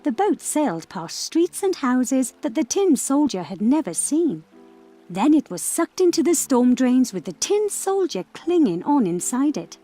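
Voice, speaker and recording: A woman storyteller narrating a scripted fairy tale for children in a measured, expressive way. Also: sits high, soft background music.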